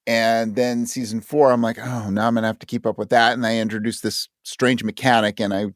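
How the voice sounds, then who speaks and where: A man's voice: mid-range voice, flat delivery, a little fast, dry recording, clean studio sound. A man speaking as a writer guest in a casual interview, candid and thinking aloud, often acting out his own thoughts as quotes. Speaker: guest audio drama writer.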